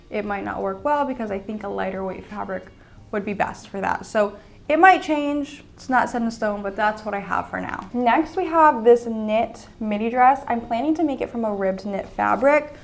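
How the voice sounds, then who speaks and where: A young woman with a slightly high voice talks through her plans in a casual, upbeat vlog style. She rambles warmly with plenty of "like" and "um" fillers. She mentions being a little sick, though she stays chatty. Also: creaky. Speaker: sewing and fashion channel host. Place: indoors at home with sketchbook and iPad.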